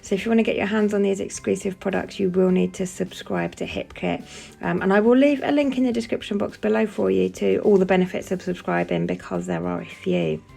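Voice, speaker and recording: Brief: woman crafter with a head cold, very gravelly fry, chatty and full of "um"s. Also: mid-range voice, lively intonation, fairly quick, warm tone. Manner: chatty, lots of "um" fillers. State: has a cold, sniffling. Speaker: scrapbooking crafter demonstrating a layout. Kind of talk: casual voice-over for a craft process video.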